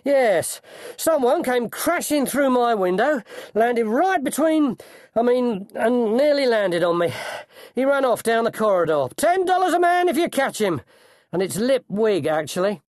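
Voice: mid-range voice, animated, normal speed, few pauses, darkish tone, dry recording, studio quality, pitched above the performer's own voice, close to an everyday voice. A narrator voicing a flustered, indignant male postmaster in an audiobook. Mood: flustered indignation. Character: flustered, pompous postmaster, a man, bluffing smoothly.

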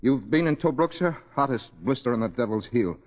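An actor playing a dazed, feverish British army corporal, exhausted and rambling with grim irony, in 1940s radio style. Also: male voice, mid-range voice, lively intonation, fairly quick, lightly acted. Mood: dazed, bitter. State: delirious, exhausted. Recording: muffled vintage radio broadcast, reverberant hall.

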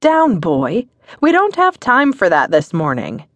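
A woman's voice: medium pitch, huge pitch swings, unhurried, fairly bright tone, no echo, pitched above the performer's own voice, exaggerated voice. A woman voicing a playful young wife who scolds in a mock-firm, affectionate way. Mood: teasing.

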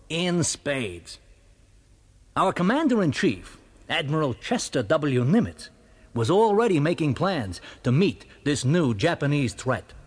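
Animated American serviceman, higher-voiced. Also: breathy edge, full character voice. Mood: assured. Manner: colloquial, confiding. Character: plain-spoken American serviceman, wry war veteran looking back. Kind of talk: audio drama monologue.

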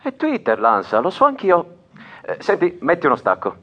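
Menacing male caller for radio drama: mocking, controlling, highly animated intonation. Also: moderate pace, dull audio, heavily acted. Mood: sarcastic, controlling. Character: menacing, sarcastic male caller threatening a radio host with a bomb. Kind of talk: naturalistic radio drama acting.

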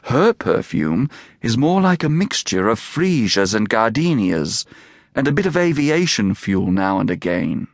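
Man doing a chill smart lady camel, slow and low-key, dry sound. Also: big pitch swings, dry recording. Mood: calm. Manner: slow, measured, thoughtful. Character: calm, clever female camel who speaks by thought.

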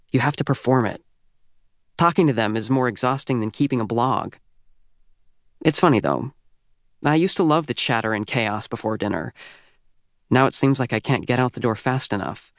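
A man's voice: medium pitch, fairly bright tone, no room sound, clean studio sound. A man with an American accent narrating a first-person teen audiobook in a youthful, wry, conversational way.

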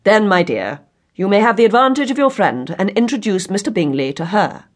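A teasing middle-aged gentleman in an audiobook, voiced by a woman. He speaks in a measured, mock-solemn way with dry irony. The voice is husky, with lively movement in pitch. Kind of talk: audiobook character acting.

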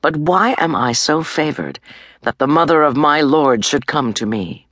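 A woman's voice: low-pitched, lively intonation, a little slow, fairly bright tone, no room sound, studio quality, exaggerated voice. Woman reading as fervent, devout older woman. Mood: joyful awe. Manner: exclaiming, fervent, emphatic. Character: joyful, devout older pregnant woman giving blessing.

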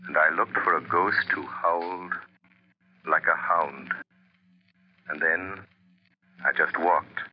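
A dull vintage radio recording of a suave gentleman sleuth. His voice is low and his phrasing deliberate, dryly understated and calmly commanding, in classic radio-drama style. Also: husky, heavily acted. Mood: calm, reassuring. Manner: measured, deliberate, dry understatement. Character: suave wealthy amateur sleuth, wry, calm, commanding. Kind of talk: 1930s-40s radio drama acting.

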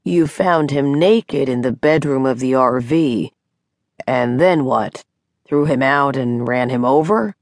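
A woman voicing a solemn young male doctor in an audiobook, calm, firm and deliberate. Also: a bass voice, no echo, clean studio sound, heavily acted. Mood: puzzled. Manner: slow, deliberate. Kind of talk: audiobook character dialogue.

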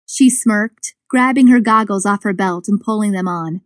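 A woman reading scripted suspense fiction as an audiobook narrator, with expressive storytelling. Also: mid-range voice, normal speed, dry recording, studio quality. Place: dry studio.

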